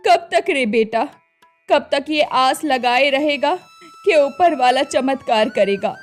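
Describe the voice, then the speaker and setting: A woman voicing a poor, worried and loving old village mother who pleads slowly and tearfully. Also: high-pitched, expressive, soft background music.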